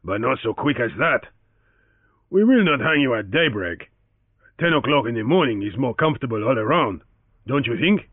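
A man voicing a suave, quietly menacing male official with a Spanish accent, heard through a tinny, dry narrowband recording. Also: mid-range voice, animated, normal speed, no room sound, close to an everyday voice. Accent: Spanish-accented English. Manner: suave, courteous with iron intent.

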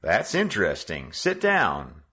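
A man reading a story aloud, voicing a kindly, shrewd middle-aged businessman speaking to his son in a measured, dry way. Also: low-pitched, huge pitch swings, sharp tone, full character voice.

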